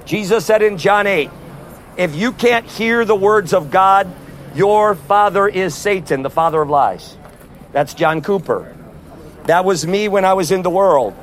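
Street preacher, male, high and forceful, loud, open-air with light traffic hiss. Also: animated, measured pace. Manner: forceful, repeatedly addressing "neighbors". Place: outdoors on a street outside a concert. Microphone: no amplifier.

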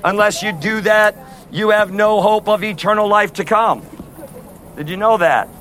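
A man preaching loudly on the street outside a concert, without an amplifier, calling out to passers-by as neighbors. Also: high voice, moderate pace, slight background noise. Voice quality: loud voice. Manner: forceful, repeatedly addressing "neighbors". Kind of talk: loud outdoor street preaching.

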